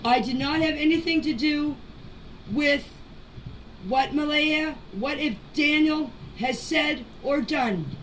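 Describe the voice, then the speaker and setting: A mature woman giving an informal spoken statement in a deliberate, halting way, in short phrases, as a voice message. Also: sits high, fairly bright tone. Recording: distant noisy amateur voice clips.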